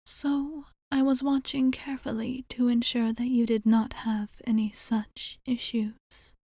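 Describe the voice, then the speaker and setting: Slow, whispery woman as a caring vampire bartender. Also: fairly high pitch, flat delivery, dark tone, no room sound, studio quality. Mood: gentle, attentive. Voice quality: breathy, whispery. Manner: measured, formal wording with thoughtful pauses. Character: composed, caring old female vampire bartender, formal and attentive. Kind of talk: ASMR roleplay, soft-spoken and half-whispered.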